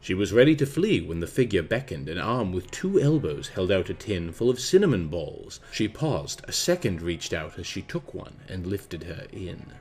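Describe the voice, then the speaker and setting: A man's voice: sits low, very animated, moderate pace, few pauses, a little mellow. A man gives a theatrical, precise, mock-grave dramatic reading of gothic rhyming verse. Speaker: narrator. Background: orchestral and jazz film soundtrack music.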